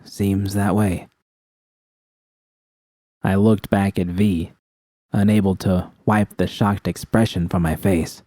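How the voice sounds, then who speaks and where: Low, mellow man narrating fiction. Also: steady tone, unhurried, no echo. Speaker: audiobook narrator. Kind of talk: scripted first-person fiction narration.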